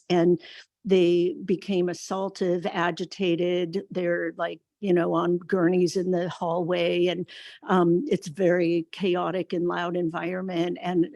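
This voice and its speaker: A woman health worker giving a measured public comment at a county meeting, pausing often with fillers. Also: lowish voice, creaky, dry recording. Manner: measured, steady, with many fillers. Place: meeting room.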